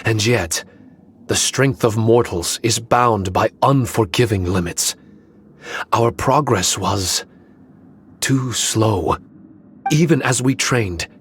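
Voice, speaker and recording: Slow, solemn guardian spirit voiced by a man. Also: mid-range voice, slight background noise, lightly acted. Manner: solemn, formal, measured delivery. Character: ancient male guardian spirit, solemn, loyal, wistful. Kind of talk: dramatic video game dialogue.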